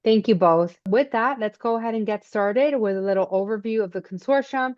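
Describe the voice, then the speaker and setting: A woman's voice: a little high, brisk. Woman hosting a webinar over slightly dull audio. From Miami. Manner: relaxed, conversational, with fillers. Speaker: webinar host. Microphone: video call.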